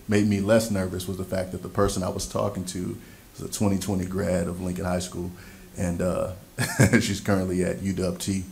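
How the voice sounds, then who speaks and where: Deep, gravelly man with a flat delivery. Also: a little hiss or hum. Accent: Pacific Northwest American. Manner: relaxed, upbeat, easygoing. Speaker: school board member. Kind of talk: board meeting report. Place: board meeting room. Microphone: desk microphone.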